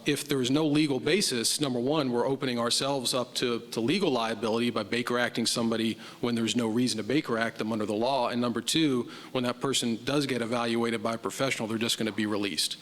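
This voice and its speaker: A man, a police captain, answering a review board's questions in a measured, explanatory way at a public meeting. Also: mid-range voice, fairly even intonation, fairly bright tone, long reverb tail. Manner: measured, explanatory, admits uncertainty. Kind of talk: formal public meeting testimony. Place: city council chamber.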